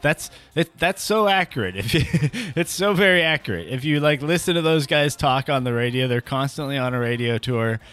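A man's voice: mid-range voice, expressive, brisk, hardly any pauses, fairly bright tone. A man in his early forties co-hosting a comedy show with casual, wry, teasing banter. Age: early 40s.

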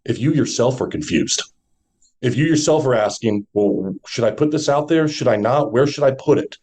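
A man with a deep voice, a podcast guest giving confident motivational advice on branding, asking rhetorical questions. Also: expressive, moderate pace, darkish tone.

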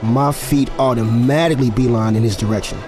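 A man's voice: mid-range voice, steady tone, a little fast, over music, lightly acted. An actor playing a guarded young football player in his twenties, confiding in a conversational, slightly hesitant audio drama narration. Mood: reflective, confiding.

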